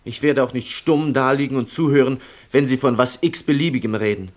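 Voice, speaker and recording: A male actor plays an earnest young fellow in a 1960 radio play. His voice is of medium pitch. He talks directly and politely, with stubborn insistence. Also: fairly quick, natural voice. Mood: insistent. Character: earnest, stubborn teenage boy feigning sickness.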